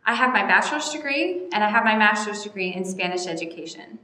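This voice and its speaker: A woman teacher from North Carolina lays out her class for parents in a measured, orderly way. Her voice is bright and forward. The recording carries a long, ringing reverb, as if made in a large empty room. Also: medium pitch, animated. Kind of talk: recorded welcome message to parents.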